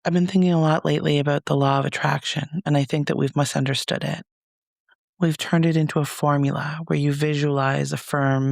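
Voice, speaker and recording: A man leading a guided meditation as a reflective monologue, speaking in a soothing, measured, gentle way with pauses. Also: a little high, creaky, no echo, studio quality.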